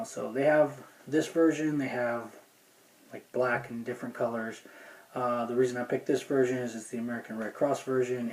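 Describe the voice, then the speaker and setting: A man's voice: mid-range voice, darkish tone, poor audio. A man giving a casual, unscripted product review in a plainspoken, folksy, rambling way. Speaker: gear review video host.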